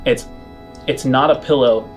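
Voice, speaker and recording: A man working as game master, narrating a tabletop game off the cuff in a loose, halting, conversational way. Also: medium pitch. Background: music.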